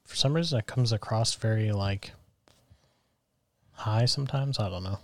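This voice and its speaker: A man with a deep voice co-hosting a podcast, talking in a loose, rambling, self-deprecating way through a mic and soundboard at home. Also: moderate pace, creaky, no room sound. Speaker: podcast co-host. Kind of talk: casual chat. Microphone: microphone through a soundboard.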